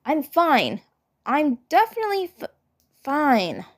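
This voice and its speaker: A woman's voice: sits high, huge pitch swings, slow, voice pushed up, full character voice. A woman voicing a proud, stubborn, defensive little male cartoon alien who mumbles in a prickly way. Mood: embarrassed, defensive.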